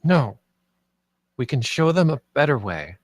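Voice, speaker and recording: A man's voice: mid-range voice, big pitch swings, measured pace, no room sound, clean studio sound. A man playing an earnest, loyal kid hero in a screenplay table read. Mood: urgent, resolute.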